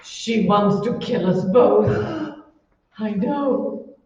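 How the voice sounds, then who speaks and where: A woman playing an imperious, shrewish wife in an old-time radio horror play, with a shrill, scornful, commanding manner. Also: animated, drawn-out words, dark tone. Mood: dread, weary. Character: imperious, shrewish wealthy wife, bossy, panicked by a ghost. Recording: muffled, very echoey, distant stage recording.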